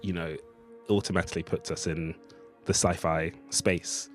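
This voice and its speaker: A man's voice: sits low, fairly even intonation, normal speed, soft background music. A man giving a thoughtful, discursive interview as a writer, speaking in a reflective conversational way. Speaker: writer of a radio play, interviewee.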